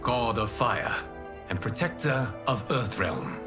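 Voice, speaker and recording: A man playing a calm, solemn god and mentor in a video game, speaking in a measured, formal, authoritative way. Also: mid-range voice, music in the background. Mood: solemn, composed. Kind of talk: cinematic video game acting.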